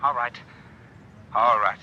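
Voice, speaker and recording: A man's voice: medium pitch, slow, highs cut off, natural voice. A man acting a cautious, exasperated secret lover in an old-time radio drama, protesting urgently and repetitively. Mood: alarmed, reluctant. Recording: old radio recording, slightly noisy.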